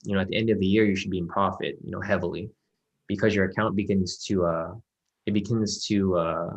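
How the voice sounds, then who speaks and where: A man mentoring a student over a video call in a relaxed, reassuring way with many fillers. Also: low-pitched, brisk, breathy edge, lo-fi sound. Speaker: trading mentor. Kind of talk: casual one-on-one mentoring call.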